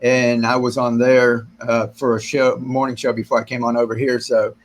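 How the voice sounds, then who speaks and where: Middle-aged guy a bit raspy from talking too long, easygoing rambly livestream chat, answering comments at his desk. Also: medium pitch, moderate pace. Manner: rambling, relaxed, many restarts and fillers, gestures with hands. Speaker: host of a gardening livestream Q&A. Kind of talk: casual live-stream chat, reading and answering viewer comments. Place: small indoor room with shelves of plants.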